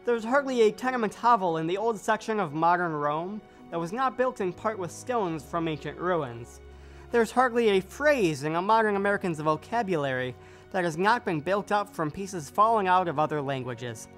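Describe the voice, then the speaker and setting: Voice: medium pitch, expressive, normal speed, fairly bright tone, faint music behind. A readers theater performer reciting quoted prose from a script.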